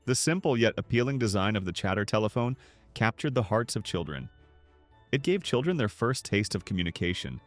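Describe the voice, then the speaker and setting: A man reading a scripted, nostalgic documentary narration in a measured, polished way. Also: fairly low pitch. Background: background music.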